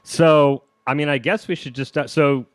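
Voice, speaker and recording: A man's voice: a little high, fairly even intonation, moderate pace, no echo. Casual male podcast host, dry close sound. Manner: rambling, self-deprecating, joking. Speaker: podcast host interviewing a comedian. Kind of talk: casual conversational interview.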